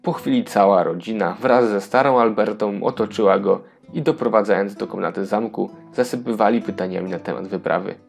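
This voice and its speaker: A man reads a children's tale as narrator. His voice is on the low side and gravelly, over faint background music. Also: brisk, few pauses, a little mellow. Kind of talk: scripted children's storybook narration.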